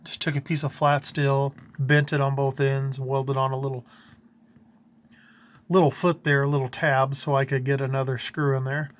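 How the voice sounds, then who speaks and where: A man's voice: mid-range voice, flat delivery, moderate pace. A man giving a casual, plainspoken walkthrough of his own do-it-yourself work, informally pointing things out as he goes. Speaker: DIY hobbyist showing his own install. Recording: noticeable ambient background noise.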